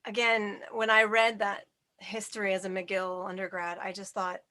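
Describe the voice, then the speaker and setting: Bright, emphatic woman. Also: medium pitch, flat delivery, moderate pace. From Canada. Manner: earnest, emphatic. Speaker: host. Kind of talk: conversational interview.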